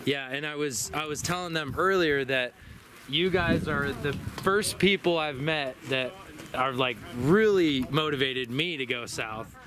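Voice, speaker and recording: A man hosting a casual interview, relaxed and encouraging, prompting his guests along. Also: fairly high pitch, unhurried, crowd noise. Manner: relaxed, encouraging, lots of mm-hmms. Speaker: interviewer, channel host. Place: outdoors at an overland rally. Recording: outdoor recording with clear background noise.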